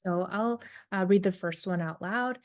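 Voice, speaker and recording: A woman's voice: mid-range voice, unhurried. Hesitant woman advisor answering questions. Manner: hesitant, many ums, careful and hedging. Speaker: college admissions advisor. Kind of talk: webinar Q and A answers.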